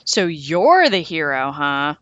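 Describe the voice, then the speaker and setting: A woman's voice: low voice, sing-song, slow pace, a bit bright, no echo, dull audio, full character voice. Woman playing a big menacing storybook wolf. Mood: gloating, menacing. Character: big menacing male wolf, gloating then fearful.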